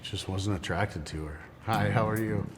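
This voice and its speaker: A man's voice: on the low side, moderate pace. A man of forty on a dating show, laid-back and terse in his replies. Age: 40s. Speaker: game show contestant. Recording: music under a distant mic.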